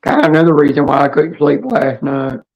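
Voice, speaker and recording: A woman with a hoarse, raspy voice hosting a casual livestream chat in a rambling, halting, blunt way. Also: deep voice, darkish tone, dull audio. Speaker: livestream host.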